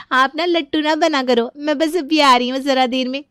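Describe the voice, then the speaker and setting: An actress playing a carefree young daughter-in-law, wheedling and childlike, in a comic family story. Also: female voice, sits high, expressive, unhurried, a bit bright, dry recording, clean studio sound. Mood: giggly, wheedling. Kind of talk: animated family comedy story acting.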